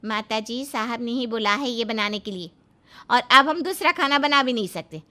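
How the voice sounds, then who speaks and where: A woman's voice: on the high side, animated, moderate pace, sharp tone. A woman voicing a weary, defiant housemaid, plaintive and protesting yet pointedly polite, in a dramatised moral story.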